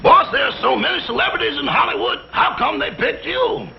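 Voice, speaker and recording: A wisecracking American household assistant, extremely gravelly and pitched very high, firing punchy comebacks at his boss in an old sitcom. The soundtrack is dull and full of loud noise. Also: big pitch swings, fairly quick, heavily acted. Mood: dry. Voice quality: gravelly, hoarse rasp. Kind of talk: 1960s sitcom acting. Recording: very noisy, dull vintage TV soundtrack.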